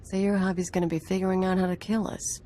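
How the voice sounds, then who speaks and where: A woman's voice: mid-range voice, level tone, fast, dark tone, slight background noise, natural voice. A woman voicing a wry, sardonic crewmate in a video game cutscene.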